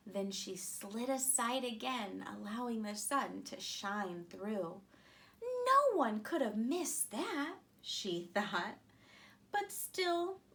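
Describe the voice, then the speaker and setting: A woman in her thirties reading a children's picture book aloud with deliberate, expressive phrasing. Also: on the high side, creaky. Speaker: storytime reader.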